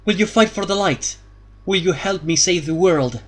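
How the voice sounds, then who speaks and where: A man's voice: sits high, fairly quick, voice pushed up, natural voice. A man voicing an earnest young heroine in an audiobook, pleading and urgent.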